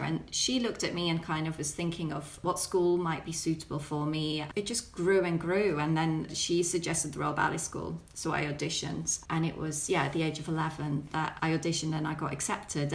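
A woman's voice: low-pitched, gravelly. A young woman from Belgium, a ballet dancer and choreographer, answering interview questions in a reflective, chatty way with many fillers. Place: dance studios.

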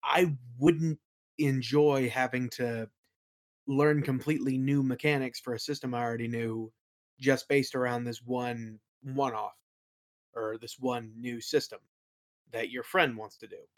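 A man's voice: mid-range voice, fairly even intonation, a little slow. A man speaking as an interview guest, explaining things in a casual, reflective way. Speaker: interview guest, game world builder.

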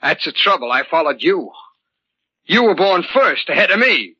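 Sharp, bitter, brooding brother, old radio. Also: male voice, fairly high pitch, big pitch swings, moderate pace, slightly rough, full character voice. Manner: accusing, intense, theatrical. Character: bitter, brooding, neurotic, jealous twin brother. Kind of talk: 1940s radio drama acting. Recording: muffled 1940s radio broadcast.

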